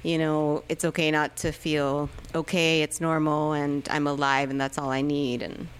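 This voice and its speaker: A woman's voice: low-pitched, flat delivery, moderate pace, smooth. A woman in her thirties whose first language is Spanish, chatting hesitantly as a guest musician in a radio studio, with many fillers and restarts. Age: 30s. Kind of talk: casual radio interview chat.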